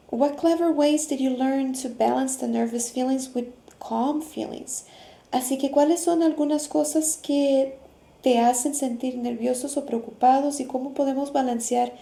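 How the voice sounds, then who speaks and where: A woman teaching children in English and Spanish with gentle, encouraging instruction. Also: high voice, vocal fry. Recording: roomy echo.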